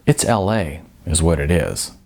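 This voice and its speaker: A man's voice: low-pitched, very animated, unhurried, creaky, a little mellow, voice pushed down, heavily acted. A man voicing a blunt, world-weary restaurant manager in an audiobook, explaining things frankly and emphatically. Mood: matter-of-fact.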